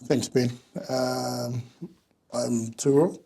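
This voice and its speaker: A man from Samoa, speaking as a guest in a relaxed, plain-spoken interview chat. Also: medium pitch, drawn-out words, creaky, husky. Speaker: events and marketing manager, guest.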